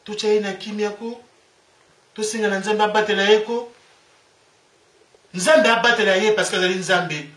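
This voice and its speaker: A Congolese man with a Congolese French accent delivers a fervent, preachy monologue, hammering his points home by repeating them. His voice sits high for a man. He sounds set back from the mic in a room full of echo. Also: steady tone, normal speed, pauses often, a bit bright, far from the mic. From Republic of the Congo. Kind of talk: impassioned monologue. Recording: echoey room, away from the mic.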